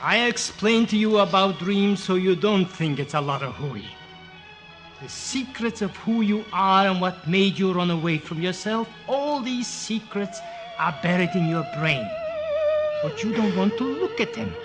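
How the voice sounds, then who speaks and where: A man's voice: sits high, sing-song, a little slow, fairly bright tone, exaggerated voice. An actor playing a fussy, wry older psychoanalyst, blunt and fatherly, who grumbles and lectures in 1940s radio drama style. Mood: coaxing, lecturing. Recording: restored vintage radio broadcast, music behind.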